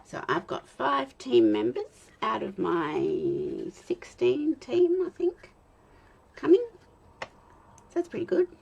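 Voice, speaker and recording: An Australian woman in her fifties, chatting and talking to herself while she demonstrates card making, with a slightly croaky voice. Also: low voice, slight background noise. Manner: rambling, self-talk with soft laughs. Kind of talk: chatty crafting demo.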